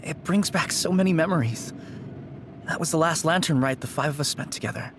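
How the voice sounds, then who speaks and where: An actor voicing a grown man who gently recalls his childhood dreams. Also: on the high side, animated, a little fast, noisy background. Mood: wistful. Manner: nostalgic, gentle.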